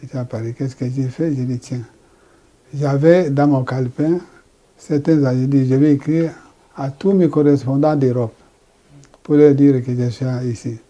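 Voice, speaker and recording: An older man from Congo, a pastor recounting his life story slowly and haltingly. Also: mid-range voice, fairly even intonation, mellow, muffled recording. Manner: slow, halting, repeats words. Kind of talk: personal testimony.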